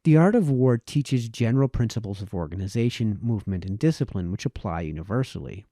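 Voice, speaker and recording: A man reading a formal historical essay aloud as narrator, in a deliberate, expository manner with varied emphasis. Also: on the low side, expressive, mellow, dry recording, studio quality. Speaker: reader, narrator.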